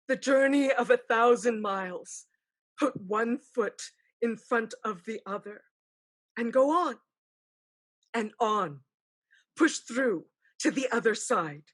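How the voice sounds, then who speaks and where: A wise female elder spirit played by a woman in a staged play. She speaks slowly and deliberately in a lightly raised pitch. Her counsel is knowing and pragmatic, with a teasing edge. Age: older. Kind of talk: staged play reading, dramatic and measured.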